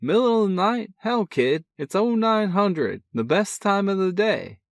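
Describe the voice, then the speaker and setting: A man reading a story, voicing a boisterous, excitable older brother who talks loud and folksy. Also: sits high, measured pace, dry recording, studio quality, pitched above the performer's own voice, heavily acted. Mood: excited. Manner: loud, folksy, keeps calling his brother kid. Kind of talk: audiobook character voice.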